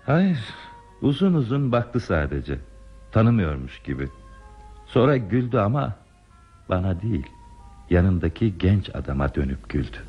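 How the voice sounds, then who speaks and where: A wistful, bashful romantic in a radio play, speaking in a low, expressive voice. He tells his memories hesitantly and reflectively, over music in a dull recording. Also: unhurried, long pauses, slightly rough, heavily acted. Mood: melancholy. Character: wistful, bashful romantic man recalling lost youthful love. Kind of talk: radio theatre acting.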